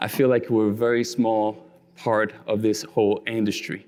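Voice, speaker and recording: A man's voice: on the low side, level tone, fairly quick, darkish tone, clear, resonant tone. Earnest, passionate man speaking on a video call. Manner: earnest, passionate, rhetorical questions. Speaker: guest speaker, roofing company leader. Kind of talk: motivational talk on a video call.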